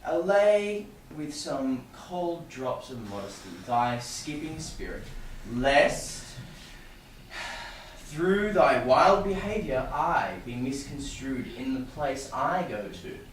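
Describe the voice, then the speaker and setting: Guy playing sensible friendly gent, shakespeare reading, slow but lilting, far off with lots of echo. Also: medium pitch, lively intonation. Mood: cautioning. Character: sensible, genial young gentleman cautioning a friend. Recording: echoey room from far off, background noise.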